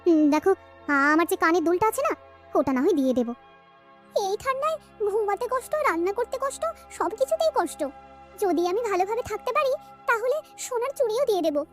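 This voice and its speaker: A woman's voice: very high voice, very animated, quick pace, soft background music, voice pushed up. A woman with a West Bengal accent voicing an anxious, devout cartoon village wife who speaks emphatically and repeats herself. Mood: weary, offering. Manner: plaintive, emphatic, repeats phrases.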